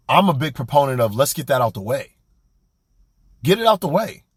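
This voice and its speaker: A man's voice: on the high side, husky, no echo, studio quality. A young man, hosting a podcast and giving a blunt, colloquial motivational talk. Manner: animated, preachy, blunt and colloquial. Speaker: podcast host. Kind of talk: motivational monologue.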